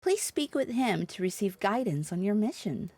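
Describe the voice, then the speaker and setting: A woman's voice: medium pitch, big pitch swings, normal speed, mellow, dry recording. A woman livestreaming a video game, chatting casually and reading dialogue aloud in an irreverent, blunt, sweary manner.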